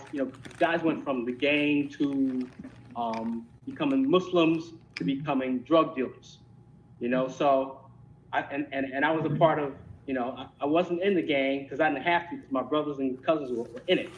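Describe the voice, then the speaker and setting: A man in his fifties from Philadelphia with an American accent, reminiscing casually as an interview guest in a halting way, repeating words as he goes. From Philadelphia, United States. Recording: dull lo-fi call, rumbling noise.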